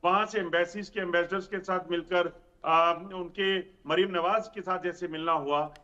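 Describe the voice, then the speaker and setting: A man's voice: high-pitched, steady tone, normal speed, sharp tone, lo-fi sound. A man giving explanatory commentary as a news panel guest, laying out his points step by step.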